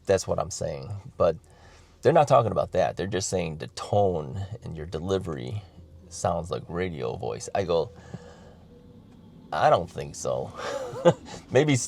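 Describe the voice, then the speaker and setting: A middle-aged man talks casually to his viewers in a deep, gravelly voice. He rambles, restarts sentences and chuckles between thoughts, all recorded dry and close. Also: a little mellow, dry recording. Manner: rambling, many fillers and restarts, chuckles often. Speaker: vlogger. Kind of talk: casual talk to camera.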